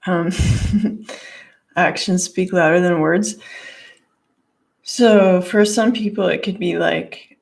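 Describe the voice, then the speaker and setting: A hoarse woman with a gravelly voice doing a casual tarot and crystal card reading in her living room, rambling with lots of fillers. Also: fairly low pitch, a little mellow. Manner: rambling, lots of "like" and "you know".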